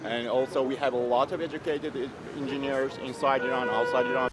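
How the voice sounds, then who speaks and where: A man's voice: medium pitch, little pitch movement, a little fast. A man giving a short interview answer, recorded outdoors in a city with traffic noise behind him. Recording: clear outdoor street and vehicle noise.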